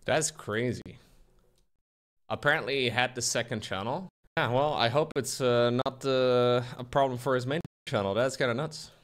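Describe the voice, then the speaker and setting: A man's voice: medium pitch, normal speed. A young man streaming from a small room at home, chatting casually and jokingly while reading chat aloud. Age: young adult. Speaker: streamer editing a video. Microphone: desk mic, wearing headphones, webcam framing.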